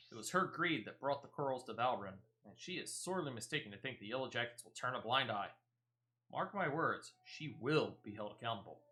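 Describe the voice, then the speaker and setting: A stern guard officer from a fantasy game, played by a man reading aloud. The delivery is formal and earnest, set at a middle pitch. The tone is bright and firm, that of someone bound by duty. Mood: stern, resolute. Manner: formal, earnest, measured phrasing. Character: stern, dutiful Yellow Jackets guard officer. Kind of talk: video game dialogue read aloud.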